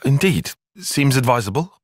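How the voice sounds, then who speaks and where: Young brit tactician from a video game, proper and analytical, bit husky, lively pitch. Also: male voice, normal speed, no echo, clean studio sound. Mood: composed, analytical. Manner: precise, formal, slightly prim phrasing. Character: analytical, reserved young male military strategist, earnest and proper. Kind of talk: video game cutscene acting.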